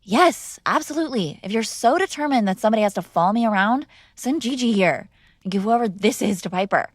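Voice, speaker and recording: A woman's voice: mid-range voice, animated, a little fast, no echo, studio quality. A young woman performing an audio roleplay as a defiant, sarcastic mob boss's daughter arguing with her father. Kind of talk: scripted ASMR girlfriend roleplay.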